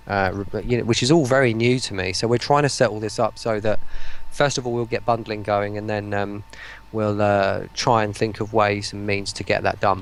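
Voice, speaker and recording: Gravelly low-voiced British man, thinking aloud. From United Kingdom. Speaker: guest, voice pack producer. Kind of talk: casual interview chat.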